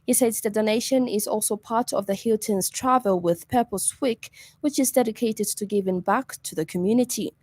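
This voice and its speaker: A woman news reader with a Zambian accent delivers a scripted radio bulletin. Her voice sits at a middle pitch, and the studio sound is close and dry. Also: moderate pace, few pauses, a little mellow, dry recording.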